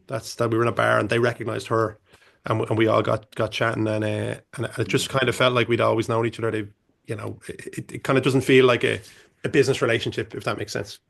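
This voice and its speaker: Gravelly man rambling on a panel. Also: mid-range voice, a bit bright. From Ireland. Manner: rambling, many restarts and fillers. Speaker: band manager, panelist. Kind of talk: panel discussion.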